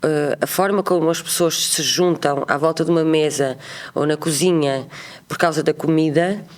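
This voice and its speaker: A woman's voice: sits low, normal speed. Chatty Portuguese woman telling travel stories. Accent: European Portuguese. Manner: chatty, animated, acts out quoted voices. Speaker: guest. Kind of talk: casual storytelling interview.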